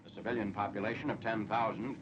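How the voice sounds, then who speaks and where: A man's voice: fairly low pitch, steady tone, quick pace, noisy background. An American man narrating a 1940s military newsreel in a formal, matter-of-fact way. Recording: dull, lo-fi 1940s film soundtrack.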